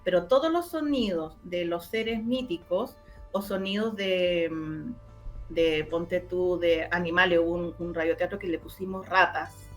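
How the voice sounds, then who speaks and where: A woman's voice: lowish voice, a little slow, faint music behind. A woman with a Chilean accent giving casual interview answers, explaining eagerly and often repeating words as she searches for the next one. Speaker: interviewee, radio drama maker.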